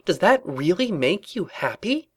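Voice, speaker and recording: A man's voice: high-pitched, very animated, drawn-out words, fairly bright tone, no room sound, clean studio sound, pitched above the performer's own voice, heavily acted. A man voicing a paranoid, secretly rebellious female pony office worker in an urgent, melodramatic story reading. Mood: agitated, pleading.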